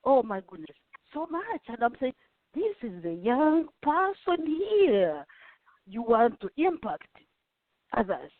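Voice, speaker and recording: Effusive slow-talking woman host, tinny line. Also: a little high, big pitch swings, dry recording. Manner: effusive, gushing, halting. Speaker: interviewer, host. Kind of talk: interview over a call. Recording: dry tinny phone-like line.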